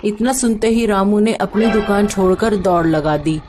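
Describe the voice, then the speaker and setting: A woman's voice: mid-range voice, normal speed, a little mellow, a little hiss or hum. A woman reading a scripted story as its narrator.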